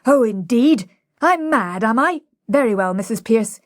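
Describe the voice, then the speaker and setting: A woman's voice: on the high side, lively intonation, measured pace, dry recording, studio quality, pitched above the performer's own voice. Woman voicing blustering professor Higgins. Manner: blustering, imperious. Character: Higgins, domineering male phonetics professor.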